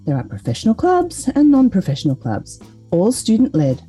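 Warm, husky-voiced woman host. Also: medium pitch, wildly swooping pitch, moderate pace, low-quality recording. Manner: smooth read promotional delivery. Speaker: podcast host. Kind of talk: scripted narration. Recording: faint music behind voice.

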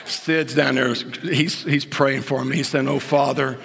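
A man in his late fifties, a pastor preaching a sermon in a church, dropping to whispers and then rising to high, loud outbursts. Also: steady tone, measured pace, gravelly. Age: 50s. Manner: swings from hushed whispers to sudden high outbursts. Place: church sanctuary. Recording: long church reverb.

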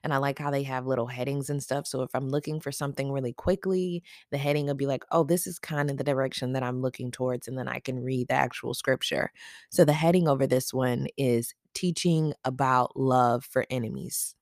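A podcast host with a raspy morning voice, chatting casually and affectionately to listeners about faith. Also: mid-range voice, steady tone, brisk, no room sound, clean studio sound.

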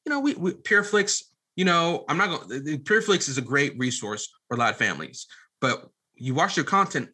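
A man's voice: fairly high pitch, sing-song, brisk, sharp tone, breathy edge. A man in his late twenties answering as a podcast guest, emphatic and conversational, often repeating phrases and restarting as he explains his venture. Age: late 20s. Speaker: podcast guest, media app founder.

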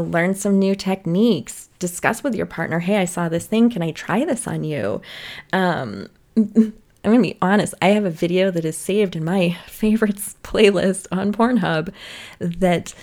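A woman's voice: medium pitch, darkish tone. A young woman talking candidly to camera, frank and profane, laughing often between lines. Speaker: sex and relationships vlogger. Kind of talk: candid casual monologue to camera.

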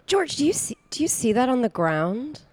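A woman's voice: on the high side, very animated, moderate pace, slight background noise. A woman playing a credulous, blunt, slightly dim wife in an improvised comedy radio play, earnest and plain-spoken. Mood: wondering.

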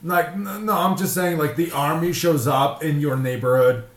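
A man's voice: on the high side, normal speed. A man from Ukraine, chatting casually and bluntly, swearing and stammering over repeated words. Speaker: podcast host. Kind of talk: casual chat. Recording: echoey room, clear background noise.

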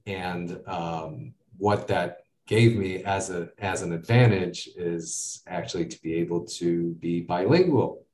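A man with an American accent talks in a loose, rambling way, filling his pauses with "uh" and "you know". His voice sits low. He is recorded from a distance in a noticeably echoey room. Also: a little mellow, slight background noise. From United States. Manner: rambling, many fillers and asides. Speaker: guest. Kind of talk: casual interview talk.

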